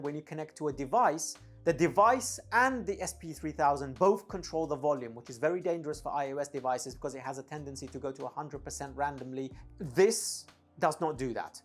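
A man's voice: fairly high pitch, husky. A man in his thirties giving an enthusiastic, emphatic, casual product review to camera as an audio gear reviewer. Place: small home studio room, indoors. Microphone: lavalier clipped at the chest.

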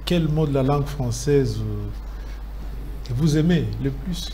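A middle-aged man hosting a literary TV show, asking calm, probing interview questions in a studio. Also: medium pitch, takes it slowly, a little hiss or hum. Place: TV studio set.

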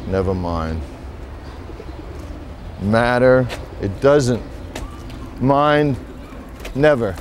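A man playing a rambling, melancholy middle-aged poet in a theatrical monologue, halting and musing, repeating phrases to himself. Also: medium pitch, expressive, a little hiss or hum. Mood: rueful, resigned. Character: rambling, melancholy washed-up male poet, wistful and self-doubting. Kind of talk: theatrical monologue with recited verse.

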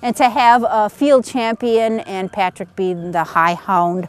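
A woman's voice: mid-range voice, level tone, slow pace, clear, resonant tone. Chatty middle-aged woman outdoors in snow. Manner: chatty, plainspoken, frequent uhs. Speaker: beagle owner. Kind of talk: casual outdoor interview. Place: snowy woods outdoors.

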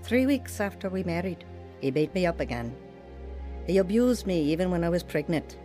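A woman's voice: lowish voice, animated, moderate pace, dark tone, faint music behind, natural voice. A woman playing a vulnerable, earnest young wife and mother in a radio drama. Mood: somber, reflective. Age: young adult. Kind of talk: radio drama acting and first-person narration.

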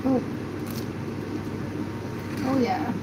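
A woman's voice: extremely slow, heavy background noise. A woman in her thirties hosting a casual live cooking stream from a small home kitchen, chatting and thinking aloud as she carves and serves. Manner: chatty, thinks aloud while carving and plating. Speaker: livestream host. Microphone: phone camera close up.

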